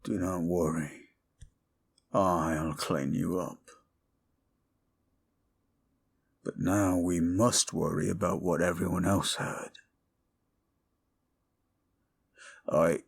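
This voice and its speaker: A man playing a tender, devoted, hulking goliath bodyguard in an intimate romantic roleplay, murmuring slowly and breathily. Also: low voice, steady tone, gravelly, breathy edge, voice pushed down, full character voice. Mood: tender, concerned.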